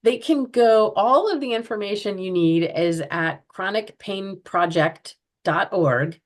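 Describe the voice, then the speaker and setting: A woman's voice: mid-range voice, a little slow. An American woman speaking earnestly as a podcast guest, often restarting and searching for words. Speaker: guest, project founder. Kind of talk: podcast interview.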